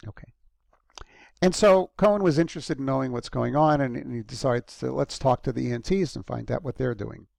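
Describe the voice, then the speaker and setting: Gravelly-voiced male lecturer, dry close sound. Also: medium pitch, brisk, darkish tone, no room sound. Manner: conversational, frequent ums and restarts. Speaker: university lecturer. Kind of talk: recorded course lecture.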